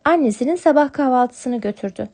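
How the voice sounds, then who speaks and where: Woman narrating a kids storybook, scripted storyteller read, higher pitched voice. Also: lively intonation, fairly quick, darkish tone.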